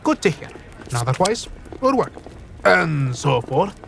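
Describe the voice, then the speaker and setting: An actor playing a seasoned, wry gambler mentor in a fantasy audio drama, colloquial and instructive. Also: male voice, on the high side, big pitch swings, a little slow, gravelly, a bit bright, slight background noise, exaggerated voice.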